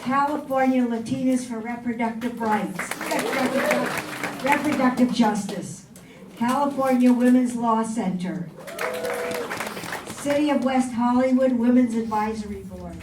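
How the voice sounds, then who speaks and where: A woman with a high voice delivers formal opening acknowledgements very slowly and deliberately. She is heard in a reverberant room, with loud crowd noise all around. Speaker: event speaker giving opening remarks. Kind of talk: formal acknowledgements, reading out names. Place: indoor event room with an audience. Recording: echoey hall, distant mic, loud crowd noise.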